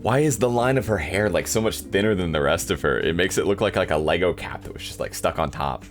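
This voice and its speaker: A young man with a low voice chats casually as he plays through his own game. His pitch moves around a lot, and his tone is wry and self-deprecating. Faint music plays behind him. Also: animated, fast talker. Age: young adult. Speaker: YouTuber playing own game. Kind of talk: casual playthrough commentary with read-aloud narration.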